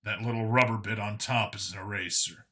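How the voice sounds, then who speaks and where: A man's voice: fairly low pitch, fairly even intonation, a little fast, sharp tone, pitched below the performer's own voice. A man voicing a wary human man in a narrated science fiction story, dry, evasive and a little awkward. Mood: dry, deadpan.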